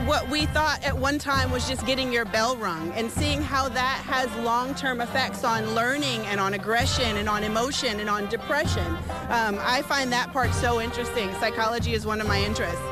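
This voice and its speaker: Higher-voiced woman explaining over loud music. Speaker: athletic trainer and sports medicine expert, interviewee. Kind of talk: explanatory interview answers. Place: high school football game.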